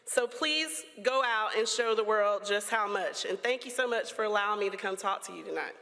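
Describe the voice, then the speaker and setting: A woman's voice: medium pitch, normal speed, sharp tone, slight background noise. Rousing woman giving a keynote address. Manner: encouraging, rousing, playful asides. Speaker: keynote speaker. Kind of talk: motivational commencement address. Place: large auditorium. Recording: long hall reverb.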